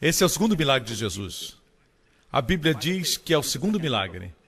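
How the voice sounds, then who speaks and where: A man preaching a sermon with emphatic delivery, climbing high in pitch on key phrases. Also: big pitch swings, moderate pace, fairly bright tone, breathy edge, big-room sound. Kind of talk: Portuguese-dubbed sermon.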